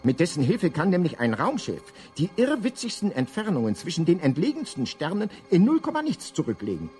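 A man of medium pitch as a galactic guidebook's narrator, with very lively intonation over faint music. He lectures in a wry, pompous, mock-scholarly way, as in a comic radio play. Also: fairly quick. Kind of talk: scripted comic science-fiction narration.

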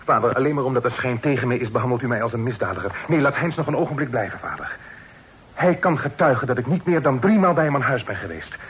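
An actor playing a polite, earnest young gentleman in a radio play, formal and well-mannered. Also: mid-range voice, expressive, fast, breathy edge, rough recording. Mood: pleading. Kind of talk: old Dutch radio play acting. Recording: old radio recording with faint noise.

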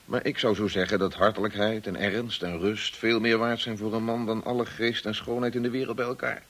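A loyal, discreet estate manager in a radio play, with a low, husky voice. He speaks courteously and with measured reticence, kindly at heart. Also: little pitch movement, a bit bright. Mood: kind, reassuring. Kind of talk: Dutch radio drama acting.

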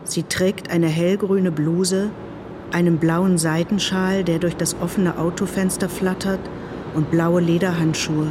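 A woman's voice: on the low side, steady tone, measured pace, noisy background. A woman narrating an audio play, reading scene directions in clipped, descriptive phrases.

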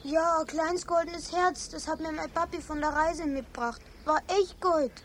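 Actress as small girl, Bavarian accent, tiny very high voice, earnest, direct, radio play, faint noise. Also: steady tone, moderate pace, lightly acted. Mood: worried. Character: earnest, insistent little girl, worried about trouble. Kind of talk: children's radio play acting.

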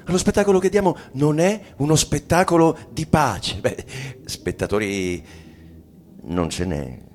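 A man's voice: a little high, animated, measured pace. An actor playing an anxious, brooding husband and father in a theatrical radio play reading, declaiming and then dropping to a murmur. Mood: oratorical. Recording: clear background ambience noise. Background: ambient sound design.

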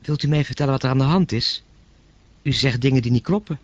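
A man's voice: mid-range voice, fast, highs cut off, heavy background noise, natural voice. A man playing a baffled, persistent telephone caller in a radio play, questioning with irritable, incredulous curiosity. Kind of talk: radio play acting, conversational.

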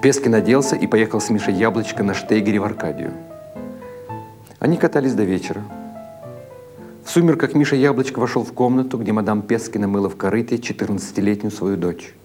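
A man narrating literary short stories as a dramatic audiobook, in a measured, deliberate way with expressive phrasing. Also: fairly low pitch. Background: music behind the reading.

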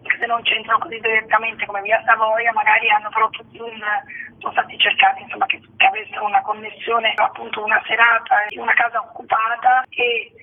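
Male interview guest on tinny phone, very high-set husky voice, fairly level intonation. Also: completely flat delivery, fairly quick, slight background noise. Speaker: artistic director. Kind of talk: conversational interview. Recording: tinny, narrow phone line.

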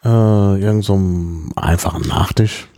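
Ein Mann, der in einem Podcast locker und mit vielen Füllwörtern von einer Reise erzählt. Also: male voice, deep voice, steady tone, a little slow, gravelly, mellow. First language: German. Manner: chatty storyteller, many fillers. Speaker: podcast host. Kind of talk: casual chat.

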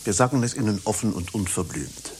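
An actor playing a formal, stiffly polite company director in a satirical radio play. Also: male voice, on the low side, brisk, darkish tone, close to an everyday voice. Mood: formal, courting. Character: formal, businesslike male company director courting a performer. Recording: hissy radio play recording.

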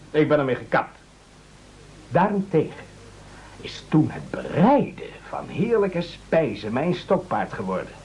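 Husky, pompous would-be cook. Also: male voice, on the high side, darkish tone, exaggerated voice. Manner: pompous, declamatory. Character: self-righteous, falsely modest would-be cook, a man. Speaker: radio drama actor. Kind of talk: theatrical satirical radio play acting. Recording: dull old radio recording.

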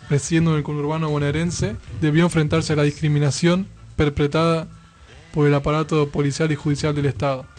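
A man's voice: on the high side, a little mellow. An Argentine man co-hosting a casual radio show and reading aloud from notes. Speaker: radio co-host. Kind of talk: casual radio talk, reading a short biography. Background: faint music.

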